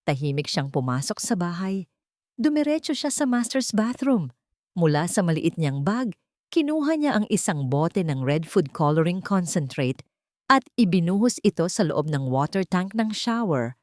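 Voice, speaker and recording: Female Filipino audiobook narrator, fairly low register, scripted drama delivery, very dry recording. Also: a little mellow, no echo.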